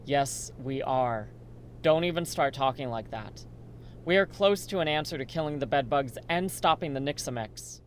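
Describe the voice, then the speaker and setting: A man playing a steady, reassuring survivor and research partner in a horror radio drama, speaking earnestly. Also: mid-range voice, normal speed, a little hiss or hum, lightly acted. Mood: firm, reassuring.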